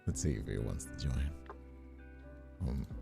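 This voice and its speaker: Very deep, dark-voiced man streaming. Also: animated, unhurried, creaky. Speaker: streamer and host. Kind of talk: casual live stream chat. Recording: faint music behind the voice.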